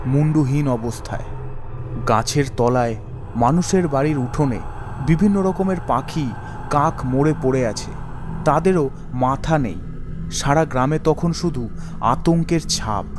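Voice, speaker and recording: A man's voice: medium pitch, a little mellow, slight background noise. Dramatic male ghost-story narrator. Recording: background music under the voice.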